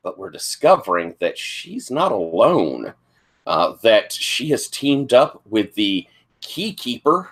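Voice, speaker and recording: A man who is hoarse and sniffly, running a tabletop game as game master, talking in a rambling way with many fillers. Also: fairly low pitch, sing-song, slow, fairly bright tone. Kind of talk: casual tabletop game recap.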